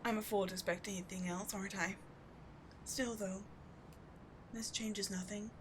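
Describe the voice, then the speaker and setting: A woman playing an obsessive, devoted villain in a dramatic ASMR roleplay, speaking with theatrical intensity that moves between forceful and hushed. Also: mid-range voice, steady tone, moderate pace, background noise, close to an everyday voice. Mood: tender, resigned. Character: obsessive, devoted female villain wooing a wounded sidekick.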